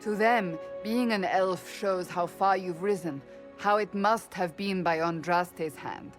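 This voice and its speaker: A woman's voice: lowish voice, slow pace. Devout warrior lady in a fantasy game, firm n commanding, some music under it. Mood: solemn, resolute. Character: resolute, devout female warrior seeker, earnest and commanding. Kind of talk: video game cutscene acting.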